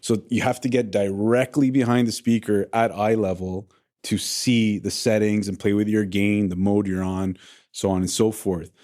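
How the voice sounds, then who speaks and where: A man's voice: fairly low pitch, level tone, moderate pace, warm tone. A man hosting an audio industry podcast in casual, enthusiastic chat. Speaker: podcast host.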